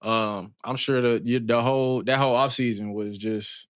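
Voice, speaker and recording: A man with a middle-pitched voice hosts a casual talk, asking loose, slangy questions with many restarts. His voice sounds dry and close. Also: steady tone, normal speed, clear, resonant tone, no room sound. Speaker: podcast host. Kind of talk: casual chat.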